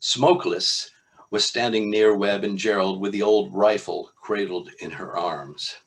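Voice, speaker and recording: A man, an author, reading from his own novel in a measured literary style with informal asides. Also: on the low side, steady tone.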